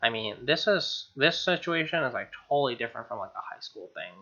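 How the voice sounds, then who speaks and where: A man's voice: medium pitch, lively intonation, a little fast, sharp tone, highs cut off. A man reading a visual novel aloud as a gamer, mixing narration with informal, slangy asides. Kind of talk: dramatic reading with casual asides.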